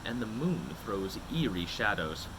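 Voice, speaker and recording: A man voicing a fussy, mild-mannered storybook homeowner who speaks in a deliberate, thoughtful, declarative way. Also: lowish voice, very animated, background noise, voice pushed down.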